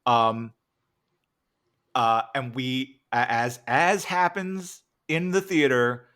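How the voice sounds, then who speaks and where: An American man talking casually in an interview, telling things in a halting way as he searches for words. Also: mid-range voice, steady tone, fairly bright tone. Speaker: interviewer and interviewee, podcast cast member. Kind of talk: casual anecdotal interview chat.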